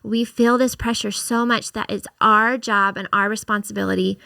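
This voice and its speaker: A woman co-hosting a faith-based podcast, speaking in a gentle, reflective way as she talks through her book. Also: medium pitch, fairly even intonation, moderate pace, vocal fry, no echo, clean studio sound. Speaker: podcast co-host and author.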